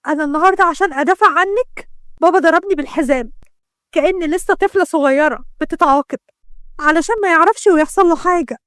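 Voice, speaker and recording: A woman's voice: extremely high pitch, animated, fast, dry recording, clean studio sound, voice pushed up. A woman voicing a tearful, wronged young woman who pleads emotionally in Egyptian Arabic. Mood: hurt, accusing.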